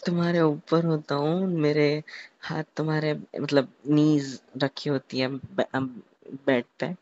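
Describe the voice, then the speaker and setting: A young man in an intimate, casual phone chat, telling a romantic scenario haltingly, repeating words and mixing in English. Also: a little high, flat delivery, a little mellow. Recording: muffled lo-fi phone call recording.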